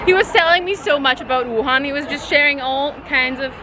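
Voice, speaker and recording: A chatty, excitable young woman from Poland, vlogging casually as she travels through city streets and taxis, laughing often. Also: sits high, brisk. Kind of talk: casual travel vlog to camera. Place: outdoors on city streets and in a taxi. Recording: noisy outdoor vlog with loud crowd and music. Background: loud street crowd and music.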